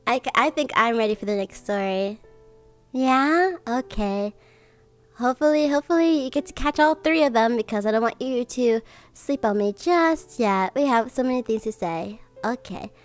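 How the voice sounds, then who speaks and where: A woman's voice: on the high side, animated, moderate pace, faint music behind. A woman livestreamer with a cutesy, gushing way of talking, chatting to viewers and reading bedtime stories. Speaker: streamer, host.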